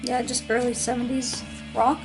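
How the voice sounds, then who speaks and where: Hoarse chatty woman vlogger. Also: a little high, flat delivery, normal speed, music in the background, rough recording. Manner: chatty, rambling, many ums and restarts. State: hoarse, late-night tired. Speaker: vinyl collector vlogger. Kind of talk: casual show-and-tell vlog.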